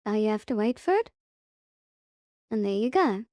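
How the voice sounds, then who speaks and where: A woman presenting a step-by-step software tutorial in short, simple instructional sentences. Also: mid-range voice, very animated, moderate pace, no room sound, clean studio sound.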